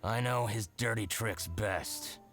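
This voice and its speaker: A man's voice: lowish voice, slow pace, fairly bright tone. A man voicing a petty, scheming merchant in a game, with a chuckling, wheedling delivery over game music. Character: scheming middle-aged male merchant, petty and gloating. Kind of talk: game voice acting. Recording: game audio under music.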